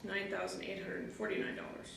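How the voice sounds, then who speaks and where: A woman judge with a very gravelly voice asks formal questions of a witness. She sits some way back from the mic, so her voice comes through distant and strongly echoey. Steady noise hangs behind her words. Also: medium pitch, fairly quick. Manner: formal, addresses the witness as ma'am. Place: courtroom.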